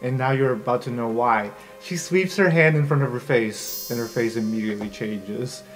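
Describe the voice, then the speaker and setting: A man's voice: mid-range voice, moderate pace, poor audio. A man narrating an improvised tabletop roleplay game as game master. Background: soft music.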